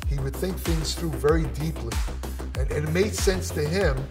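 Male interviewee, distant mic, loud music bed. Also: a little high, moderate pace. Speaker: interviewee in a documentary. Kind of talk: documentary interview.

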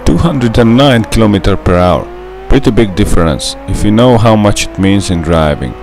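A man's voice: fairly low pitch, expressive, moderate pace, gravelly, music in the background. A young man with a Hungarian accent, a native Hungarian speaker, narrating a gameplay tutorial in a careful, methodical way. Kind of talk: scripted gameplay voice-over.

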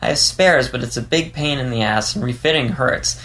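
A man's voice: mid-range voice, brisk, a bit bright, pitched above the performer's own voice, lightly acted, rough recording. A man voicing a playful, candid young male fox in an audiobook story, rambling with hesitant stammers. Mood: exasperated, self-conscious.

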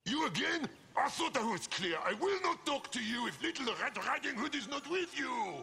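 A man voicing a grumpy big bad wolf who plays granny in a video game fairy tale, theatrical, swooping between shrill and growling. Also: big pitch swings, moderate pace, gravelly, bright, forceful tone, a little hiss or hum, full character voice. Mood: grumpy, indignant.

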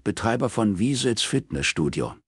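A man's voice: fairly low pitch, big pitch swings, normal speed, breathy edge, dry recording, studio quality, voice pushed up. A man voicing a smug, flirty private detective with a pushy, bantering manner in an audiobook. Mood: eager, smug.

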